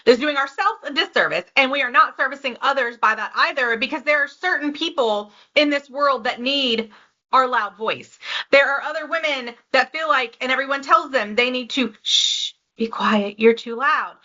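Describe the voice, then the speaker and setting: A woman's voice: high-pitched, moderate pace, bright, forceful tone, lo-fi sound. A woman co-hosting a podcast, talking in an earnest, encouraging way. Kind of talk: casual conversation.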